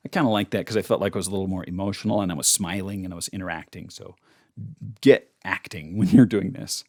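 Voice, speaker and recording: A man's voice: low voice, steady tone, quick pace, a little mellow. A man who works as a voice actor, teaching in a casual, conversational and emphatic way with demonstrations. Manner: emphatic, conversational, checks in with "okay?". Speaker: voice actor giving a tutorial. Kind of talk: casual instructional talk with demonstrations.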